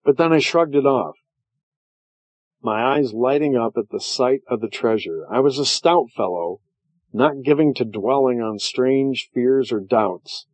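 A man narrating a fantasy story as a stout, proud dwarf adventurer, in a measured, dramatic storytelling manner. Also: medium pitch, a little mellow, no echo, natural voice, rough recording. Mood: proud, satisfied. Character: stout, hardy, proud male dwarf adventurer telling his tale. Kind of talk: scripted first-person fantasy story narration.

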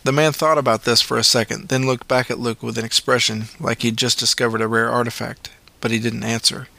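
A man's voice: medium pitch, flat delivery, fairly quick, vocal fry, sharp tone, dry recording. A man voicing a badly injured young man who whispers and demands answers in a strained voice. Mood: demanding.

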